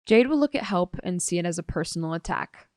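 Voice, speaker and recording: A woman's voice: fairly low pitch, animated, quick pace, a little mellow, no echo, studio quality, natural voice. A woman acting a blunt, dismissive, jaded teenage girl testifying in an audio drama. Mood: blunt, scornful. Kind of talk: audio drama monologue, police interview testimony.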